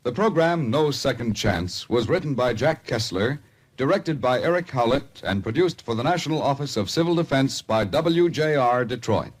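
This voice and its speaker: Man, formal announcer, 1950s radio style, scripted reading, medium pitch. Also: lively intonation, normal speed.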